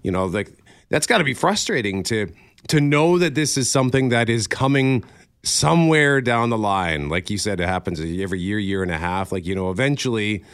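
A man's voice: lowish voice, lively intonation, a little fast, clean tone, dry recording, studio quality. A man co-hosting a morning radio show in casual chat, rambling and correcting himself, chuckling now and then. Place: radio studio. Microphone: studio microphone.

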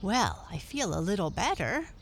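Plucky, gentle storybook cat, played by a woman, earnest self-talk with animated pitch. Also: moderate pace, a little hiss or hum. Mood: hopeful, self-assured. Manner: talks to herself in simple, earnest sentences. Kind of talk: children's storybook character reading.

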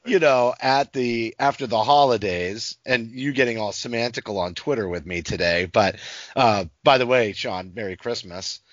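A man's voice: mid-range voice, moderate pace, no echo. A man co-hosting a casual sports podcast, talking conversationally with the others. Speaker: podcast co-host. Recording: slightly dull, dry podcast audio.

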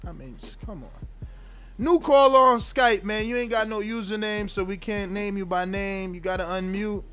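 A man's voice: sits high, moderate pace, sharp tone, faint music behind. A man in his forties hosting a call-in show, brash, profane and teasing with callers. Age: 40s. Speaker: host.

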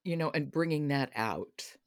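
A woman hosting a podcast in casual chat, briskly prompting her guest with questions. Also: low-pitched, animated. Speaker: podcast host.

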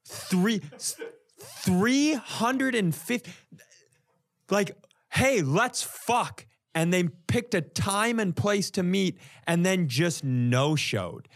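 Man, highish voice, very animated pitch, casual comedic storytelling, exasperated, sweary. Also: takes it slowly. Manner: animated, exasperated comic delivery, profane. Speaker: comedian, podcast co-host.

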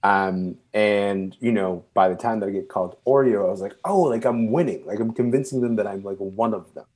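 A man from Sudan who moved to Canada young and is not a native English speaker, speaking reflectively and haltingly as an interview guest, searching for words. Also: fairly low pitch, darkish tone. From born and raised in Sudan, moved to Canada at twelve. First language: non-English first language.

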